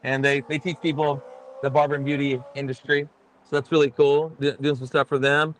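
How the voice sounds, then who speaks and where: A man's voice: fairly high pitch, level tone, fairly quick, fairly bright tone, slight background noise, rough recording. A middle-aged man from Texas, chatting casually as a guest musician and telling rambling, self-deprecating stories. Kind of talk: casual podcast chat.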